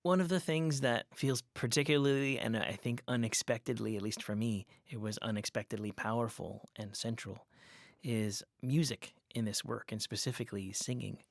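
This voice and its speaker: A man with a creaky, gravelly edge interviews as a podcast host. His questions are reflective, and the sound is close and dry. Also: mid-range voice, steady tone, normal speed, no room sound.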